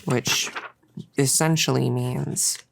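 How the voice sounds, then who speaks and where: A very low, creaky-voiced young cultist played by a woman in an intimate audio roleplay. She is bossy and possessive, with a giddy, playful smugness, and rambles casually as if thinking aloud. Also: fairly even intonation, slow, noisy background, exaggerated voice. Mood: rambling, smug explaining. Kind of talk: intimate roleplay audio, improvised-sounding monologue.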